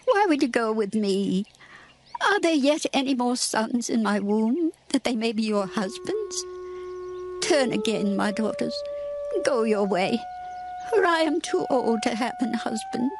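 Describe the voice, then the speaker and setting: A woman's voice: on the high side, big pitch swings, a little slow, background noise. An actress playing a sorrowful, bitter older widowed mother-in-law in a dramatized scripture reading, speaking in earnest, solemn archaic phrases. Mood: pleading, grieving. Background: music.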